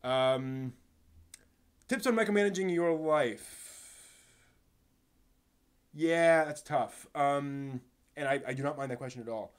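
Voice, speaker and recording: A man hosting a casual live stream, speaking in a halting, rambling way and stumbling over his words, sounding somewhat tired. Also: medium pitch, clear, resonant tone. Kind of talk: casual live stream chat, answering chat questions.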